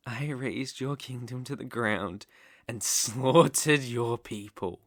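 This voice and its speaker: A man's voice: mid-range voice, a bit bright, dry recording, studio quality, exaggerated voice. A man playing an obsessive, sinister young villain king in an ASMR roleplay, speaking theatrically and sometimes dropping to a whisper. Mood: gloating. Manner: sinister, theatrical, slow drawn-out phrasing with whispers.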